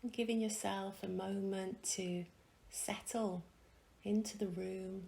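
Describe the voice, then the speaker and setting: Slow, soft woman guiding a meditation. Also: medium pitch, fairly even intonation, vocal fry. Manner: soft, soothing, gentle delivery with long pauses. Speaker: meditation guide. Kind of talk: guided meditation.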